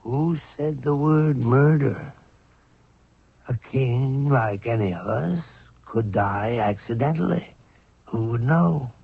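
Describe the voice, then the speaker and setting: A man's voice: mid-range voice, slow, creaky, slightly rough, no room sound, heavily acted. A man acting in a 1970s radio drama as a cold, scheming royal courtier, contemptuous and insinuating in his delivery. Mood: conspiratorial, insistent. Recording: dry phone-like vintage radio recording.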